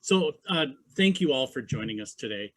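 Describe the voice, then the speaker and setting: A man's voice: medium pitch, lively intonation, moderate pace, a bit bright, no echo. A man moderating a video call, introducing the speakers with brief, friendly interjections.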